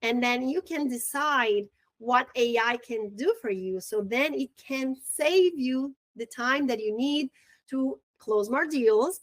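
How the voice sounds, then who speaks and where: A woman's voice: fairly high pitch, dry recording. A woman with a Brazilian accent, Portuguese her first language, giving a casual, upbeat training on tools, often restarting her words. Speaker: real estate trainer.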